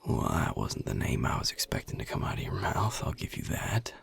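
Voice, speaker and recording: Gruff, territorial Southern US vampire played by a man for audio roleplay: half-whispered gravel, widely swinging intonation, curt and sardonic. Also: big pitch swings, fairly bright tone, exaggerated voice. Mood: suspicious. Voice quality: gravelly, husky, breathy low voice. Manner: slow, drawling, sardonic and curt. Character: guarded, gruff Southern male vampire defending his territory. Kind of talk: audio roleplay addressed to the listener.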